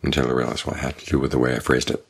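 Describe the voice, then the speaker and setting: An older man, a Buddhist monk, giving a calm, measured evening Dhamma talk with unhurried pauses. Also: extremely low pitch, creaky, breathy edge. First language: English.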